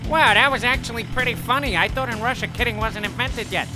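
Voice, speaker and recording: A man voicing a flustered American tourist from New York with a New York accent, whiny and quick to apologize. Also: very high voice, over music, low-quality recording. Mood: apologetic. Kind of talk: comedy audio sketch.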